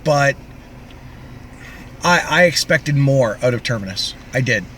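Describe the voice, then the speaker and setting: A man's voice: medium pitch, expressive, unhurried, fairly bright tone, a little hiss or hum. A man from Canada co-hosting a podcast, recapping television in loose, casual chat. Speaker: podcast co-host.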